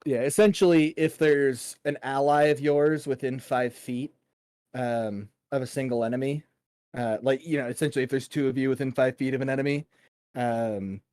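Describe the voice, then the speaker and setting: A man's voice: mid-range voice, fairly even intonation, normal speed, a little mellow, dry recording, studio quality. A man narrating a tabletop game as game master in a casual, chatty, colorful and informal way.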